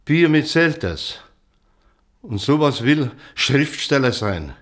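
An actor playing a jovial small-town innkeeper, chummy and teasing, in naturalistic drama dialogue. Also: male voice, mid-range voice, very animated, slow pace. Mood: hearty. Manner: chummy, bantering, hearty.

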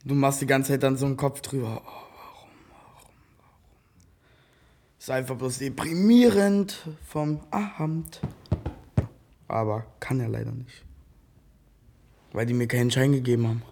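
A man's voice: medium pitch, moderate pace, gravelly, long pauses, darkish tone. A young man from Berlin, talking loosely and jokingly in casual slang as the subject of a documentary. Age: young adult. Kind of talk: casual street chat.

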